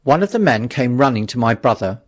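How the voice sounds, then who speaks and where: A man reading a literary story as an audiobook narrator, with a dramatic, measured delivery. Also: medium pitch, sing-song.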